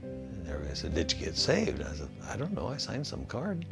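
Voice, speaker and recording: A man's voice: low-pitched, wildly swooping pitch, a little fast, vocal fry. A man in his sixties telling his story as a missionary in a documentary interview. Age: 60s. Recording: music behind the voice.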